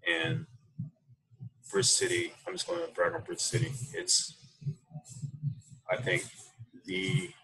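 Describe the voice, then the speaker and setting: An American man speaking casually and reflectively as an interview guest on a video call, thinking his way through halting phrases. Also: deep voice, very animated, gravelly, pushed and bright, low-quality recording. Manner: halting, thoughtful, unhurried phrasing. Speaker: interview guest, coffee roaster. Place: small room indoors, video call. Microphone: computer mic on a video call.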